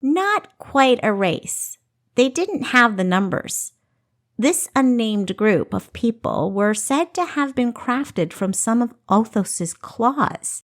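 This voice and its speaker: A woman voicing an animated female historian who retells ancient legends with emphatic storytelling in a fantasy audiobook. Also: on the high side, slow pace, pitched above the performer's own voice. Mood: knowing, storytelling.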